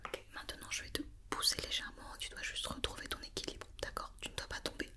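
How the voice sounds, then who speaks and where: A woman whispering an ASMR roleplay as a doctor giving an examination, with soft, reassuring and encouraging step-by-step instructions. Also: fast talker. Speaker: ASMR performer playing an occupational doctor examining a robot.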